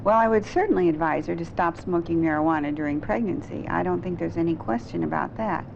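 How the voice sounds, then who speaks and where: A woman researcher explaining findings in an interview, with measured, halting and precise scientific phrasing. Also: fairly low pitch, vocal fry. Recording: dull, slightly noisy old film interview.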